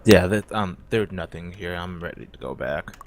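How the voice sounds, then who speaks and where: A man's voice: sits low, animated, normal speed, a little hiss or hum. A man playing a role-play game, talking casually and somewhat tentatively as he explains his choices.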